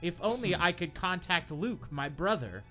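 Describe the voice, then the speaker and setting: A man's voice: high voice, lively intonation, moderate pace, sharp tone, soft background music, voice pushed up. A man voicing a young princess in captivity, worried and overdramatic.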